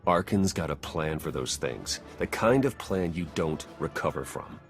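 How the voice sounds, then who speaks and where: Worried intelligence agent for a game: deep, earnest, grave, light music behind. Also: male voice, expressive, moderate pace. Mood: worried, urgent. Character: grim, worried male agent briefing his commander. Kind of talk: dramatic video game acting.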